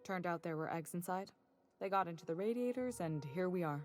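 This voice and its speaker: An actress playing a brisk, wry woman boss aboard a spaceship in a video game, dry and matter-of-fact. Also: lowish voice, lively intonation, a little mellow, close to an everyday voice. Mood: dry, businesslike. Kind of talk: video game dialogue acting. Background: music.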